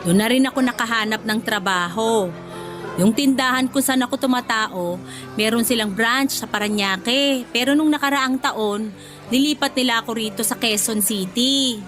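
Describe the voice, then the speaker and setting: A woman's voice: fairly high pitch, moderate pace, a bit bright, faint music behind, lightly acted. An actress playing an affectionate, motherly older former nanny in a Filipino radio drama, with a Filipino accent. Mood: resigned.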